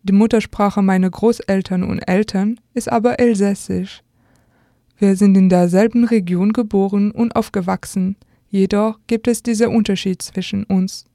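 A young woman from Alsace with a French accent and a soft, mellow tone. A native French speaker, she reads a prepared essay at medium pitch, in a very dry recording. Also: steady tone, normal speed, no room sound. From Alsace, France. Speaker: radio contributor. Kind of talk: scripted essay reading.

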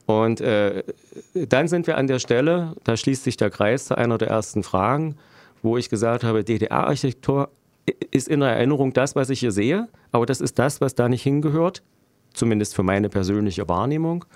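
A middle-aged man from Germany answering interview questions as a local politician, explaining deliberately and haltingly with dry irony. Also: medium pitch, animated, a little mellow, dry recording. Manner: deliberate, halting, dryly ironic. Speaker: local politician interviewed, road planner by profession.

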